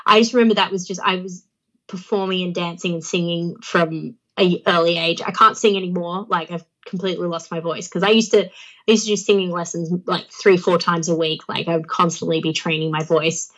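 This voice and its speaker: A woman's voice: fairly low pitch, steady tone, a little fast, a bit bright, rough recording. A young Australian woman chatting as an interview guest, with a phlegmy throat, laughing often and using many fillers. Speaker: guest, pro wrestler. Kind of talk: casual interview chat.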